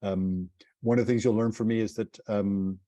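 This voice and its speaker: A middle-aged man with an American accent, speaking as a guest on a webinar panel over a video call, talkative and relaxed with a self-deprecating touch. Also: lowish voice, normal speed, no room sound. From United States. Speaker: nonprofit CEO, panel guest. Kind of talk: casual webinar talk.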